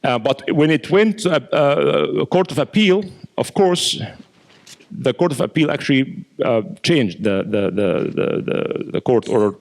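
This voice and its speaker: A man, an imam, giving a lecture with audience questions, explaining in a steady teaching manner and often repeating words as he thinks. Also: mid-range voice, measured pace. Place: mosque lecture hall.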